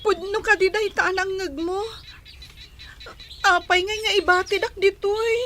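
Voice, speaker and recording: A woman's voice: extremely high pitch, steady tone, a little slow, bright, forceful tone, a little hiss or hum, exaggerated voice. An actress playing a plaintive, pleading young girl who feels hurt, in a radio drama.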